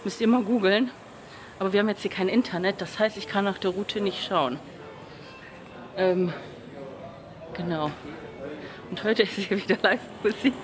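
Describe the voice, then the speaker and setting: A woman's voice: mid-range voice, moderate pace, long pauses, a crowd in the background. A woman in her thirties chatting casually to a handheld camera, rambling a little and tired from the humid heat, in a hotel lobby and on the street. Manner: chatty, rambling, frequent "genau" and "ne?" tag questions. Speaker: travel vlogger. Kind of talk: casual vlog chat to camera. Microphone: handheld camera at arm's length.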